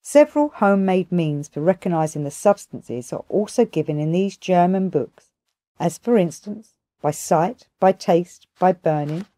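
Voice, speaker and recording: A woman narrating a literary audiobook in a measured, formal, deliberate storytelling manner. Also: lowish voice, mellow, dry recording. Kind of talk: scripted literary narration, storytelling read aloud.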